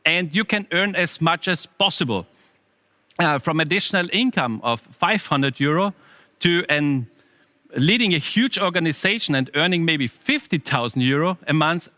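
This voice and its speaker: A man's voice: on the high side, pushed and bright, no echo. A man hosting a company's online event, presenting in with frequent hesitations. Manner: scripted, with frequent uh fillers. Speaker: event host.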